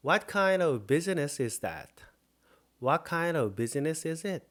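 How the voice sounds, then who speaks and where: A man from Korea teaches an English lesson with a lively, bouncing delivery. His voice sits a little high for a man. He keeps checking in with his listeners and slows right down for his English model sentences. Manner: animated, slow deliberate English model phrases.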